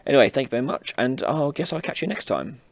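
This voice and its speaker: A man hosting an informal software tutorial, casually explaining each step as he demonstrates it on a close mic. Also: medium pitch, very animated, fast, gravelly, dry recording. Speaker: tutorial host. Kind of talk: informal screen-recorded software tutorial narration.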